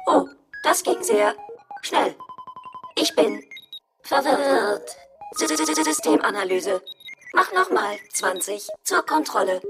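A woman's voice: sits high, very animated, slow pace, pauses often, music in the background, full character voice. A woman playing a stern, pedantic household robot in a children's radio play, speaking in stilted, clipped commands. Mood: confused, stern. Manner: clipped commands, stilted formal phrasing, glitchy stutter.

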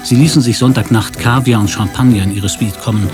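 A man's voice: mid-range voice, fairly even intonation, fairly quick. Male radio drama narrator over music.

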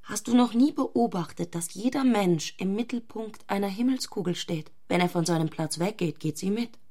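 A woman's voice: medium pitch, moderate pace, dark tone, lightly acted. A woman playing an intense, fanatical, provocative married woman in a literary radio drama. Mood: fervent.

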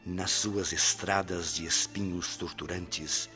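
A very deep Brazilian spirit guide, solemn and benevolent, preaching to souls in a radio drama. He speaks very slowly, like a formal orator. The recording is somewhat dull, with soft music underneath. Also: steady tone, full character voice. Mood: grave exhortation. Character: solemn benevolent male spirit mentor addressing departed souls.

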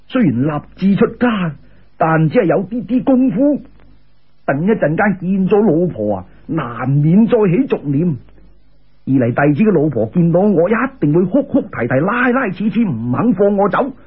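Anxious disciple in an audio story, played by a man: raspy, higher-set voice, humble and hurried, thin band-limited recording. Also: big pitch swings, narrow, tinny audio, exaggerated voice. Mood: pleading. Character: earnest, anxious male disciple seeking immortality.

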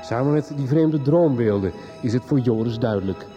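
A man's voice: mid-range voice, lively intonation, normal speed, dark tone, dull audio. A man narrating a scripted recap as announcer of a radio soap. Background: music.

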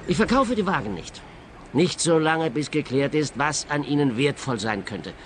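A man's voice: on the high side, expressive, moderate pace, natural voice. A man playing an earnest, wary client in a radio play, speaking insistently. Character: earnest, wary male client who owns wrecked cars. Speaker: supporting actor. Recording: clear outdoor traffic-like background noise.

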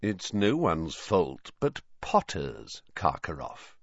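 A man reading an audiobook, voicing a malicious, sneering teacher who speaks softly, slowly and with menace. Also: low-pitched, huge pitch swings, breathy edge, dry recording, muffled recording, exaggerated voice. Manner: soft, slow, menacing. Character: malicious potions master, man, cold and sneering.

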